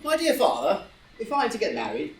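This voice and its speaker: A woman's voice: mid-range voice, wildly swooping pitch, a little fast, slight background noise. A young aristocratic dandy, played by a woman, arch and flippant, with wildly varied intonation. The voice is roomy and a little far from the mic, as at a live play reading. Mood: arch, playful. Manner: arch, languid wit, theatrical. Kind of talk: stage comedy reading for audio drama.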